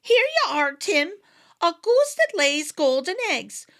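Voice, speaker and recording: A woman voicing a sly little fairy leader, a small man with a high, sing-song voice. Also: very animated, slow pace, a bit bright, full character voice. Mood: coaxing.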